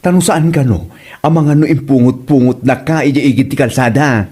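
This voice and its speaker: A man's voice: mid-range voice, normal speed, dark tone, close to an everyday voice. A man playing a blunt, teasing friend who mocks and scolds in a comedy audio drama, with an Ilocano accent. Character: teasing, blunt male friend egging others on.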